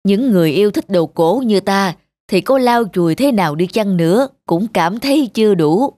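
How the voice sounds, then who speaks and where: A woman voicing a kindly, calm older male antique collector in a moral folk-tale cartoon, measured and gently instructive. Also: medium pitch, no room sound, studio quality, close to an everyday voice. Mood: modest, kindly.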